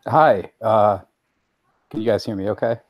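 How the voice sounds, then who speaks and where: A man's voice: lowish voice, measured pace, dry recording, muffled recording. A man casually introducing himself as a panelist over a remote call. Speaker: podcast creator and panelist.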